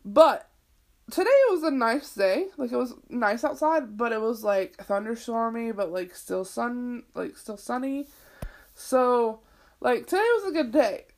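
A woman's voice: a little high, expressive, unhurried. A woman in her twenties hosting her own podcast, rambling casually, swearing freely and leaning on "like," with a sniffly, itchy throat. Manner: chatty, swears freely, lots of "like". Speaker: podcast host.

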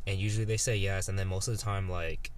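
A man's voice: sits low, steady tone, quick pace, a crowd in the background. A man in his twenties talking casually as a guest, laid-back and rambling with lots of fillers and restarts. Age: 20s. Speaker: guest photographer. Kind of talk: casual podcast chat.

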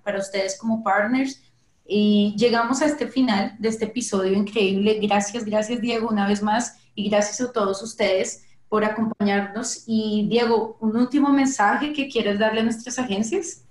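A woman's voice: medium pitch, fairly even intonation, normal speed. A woman hosting a business podcast interview, talking casually and often restarting her phrases, who says her Spanish has slipped because of Portuguese. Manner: warm-hearted, repeats herself, restarts phrases. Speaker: podcast host. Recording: echoey room.

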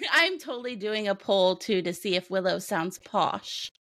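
A woman's voice: medium pitch, sing-song, takes it slowly, a bit bright, no echo. A woman with a Kansas American accent co-hosting a podcast, chatting enthusiastically and laughing often. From Kansas, USA. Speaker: podcast co-host. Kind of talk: casual podcast chat.

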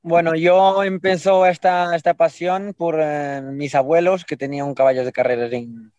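A man from Portugal with a Portuguese accent, giving casual interview answers in a halting way, repeating words. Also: on the high side, fairly even intonation, darkish tone, smooth, no echo, highs cut off. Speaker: horse racing guest.

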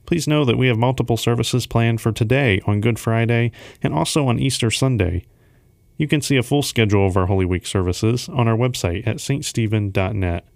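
A man's voice: on the low side, dry recording, studio quality. A man reading a scripted introduction as host of a devotional podcast.

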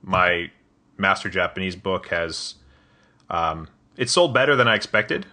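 A man with a very low, bright-edged voice hosts a relaxed podcast chat. He talks haltingly, restarting his thoughts and laughing lightly at himself as he goes. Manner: hesitant, with many restarts and small laughs. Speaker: podcast host. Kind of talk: casual interview conversation.

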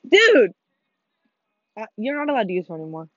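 A teenage girl co-hosting a casual gaming podcast with friends, talking fast, swearing and running herself down, with excited outbursts. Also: on the high side, no room sound. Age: teens. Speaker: podcast co-host. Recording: muffled dull sound, faint music behind.

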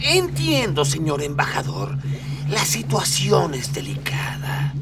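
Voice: mid-range voice, wildly swooping pitch, far from the mic, loud music behind the voice, full character voice. A performer playing a haughty, grandiose lord in a radio drama. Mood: grandly courteous. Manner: grandiose, drawn-out, swooping delivery. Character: theatrical, haughty lord addressing an ambassador and servant.

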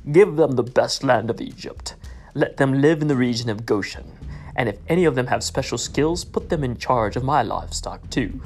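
A man's voice: mid-range voice, expressive, normal speed, darkish tone, breathy edge, faint music behind. Man reading a commanding ancient king. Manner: firm and declarative.

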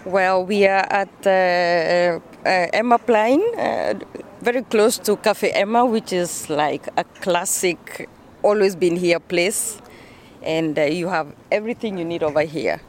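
Kenyan-accented woman, clear traffic noise behind. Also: lowish voice, a little slow. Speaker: interview guest. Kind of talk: casual conversational interview. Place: outdoors on a bench in a busy city square. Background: traffic and city ambience.

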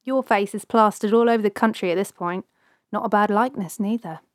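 A brash half-elf woman from a fantasy audiobook, acted by a man, speaks in a raised, high voice. She is streetwise and sardonic, tossing out blunt colloquial remarks. The sound is close and dry. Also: brisk, dry recording, natural voice. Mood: wry. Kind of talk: audiobook character dialogue.

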